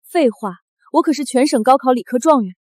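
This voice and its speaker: A woman's voice: high voice, animated, fairly quick, darkish tone, no echo, clean studio sound. A woman playing a brilliant, proud, prickly young inventor in a short drama.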